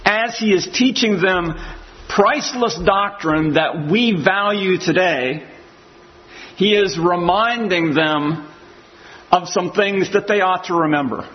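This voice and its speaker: A man with a rather high voice gives an expository sermon. He reads scripture passages aloud and then works through them verse by verse. The recording sounds muffled and lo-fi. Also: slow. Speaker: preacher.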